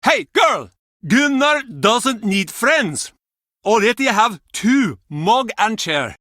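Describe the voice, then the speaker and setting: An actor playing a gruff, cantankerous old fisherman in a video game, with a blustering, theatrical way of telling tall tales. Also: sits high, sing-song, slow pace, sharp tone, no echo, studio quality, full character voice. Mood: grumpy, dismissive. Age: older. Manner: blustering, curt, theatrical storytelling. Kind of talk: video game character acting.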